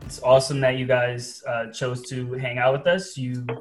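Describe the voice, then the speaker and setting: Host, man, monotone and easygoing welcome, soft music underneath. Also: mid-range voice, normal speed. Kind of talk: casual welcome.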